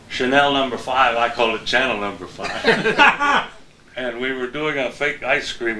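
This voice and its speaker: A man's voice: on the low side, sharp tone. An older man, a veteran TV weatherman, chatting casually and telling anecdotes with a chuckle. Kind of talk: casual reminiscing chat. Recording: roomy echo, faint background noise.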